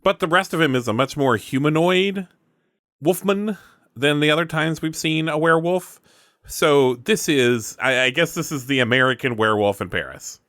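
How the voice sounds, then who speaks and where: Bright-voiced man narrating a tabletop game. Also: fairly high pitch, normal speed, dry recording, studio quality. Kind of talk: casual improvised game narration.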